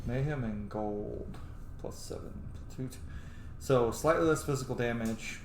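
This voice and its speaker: A man's voice: medium pitch, unhurried, darkish tone, roomy sound, slight background noise. A man giving casual, playful gameplay commentary, muttering to himself and exclaiming, with some swearing. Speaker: gamer playing and commenting on a role-playing game.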